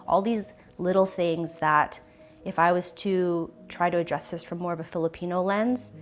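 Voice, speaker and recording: A young woman from Canada with a Canadian accent, speaking reflectively in an interview, thoughtful and hesitant, often pausing and restarting. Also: fairly low pitch, steady tone, soft background music. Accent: Canadian English.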